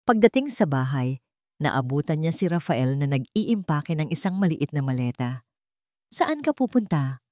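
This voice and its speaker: A woman's voice: sits low, lively intonation, normal speed, warm tone, no room sound, clean studio sound. A woman reading scripted narration for a dramatic story, as its storyteller.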